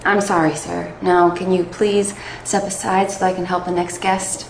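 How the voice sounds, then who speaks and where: A woman's voice: lowish voice, level tone, moderate pace, vocal fry. Courteous, faintly smug pharmacy clerk, played by a woman, comedy sketch, roomy, slight noise. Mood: polite, unbothered. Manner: customer-service politeness. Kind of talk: POV comedy skit. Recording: roomy echo, background noise.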